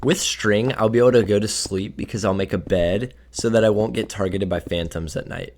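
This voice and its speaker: A young man with a slightly raspy voice from a cold, casually narrating his gameplay and sometimes tripping over his words. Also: lowish voice, fairly even intonation, brisk. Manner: casual, offhand, trips over words now and then. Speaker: gaming video creator.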